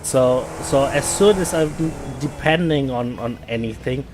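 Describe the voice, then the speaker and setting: A man from Germany with a German accent, chatting casually while playing a game, often repeating words and pausing with fillers. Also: mid-range voice, noisy background. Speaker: gaming streamer. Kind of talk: casual gameplay chat.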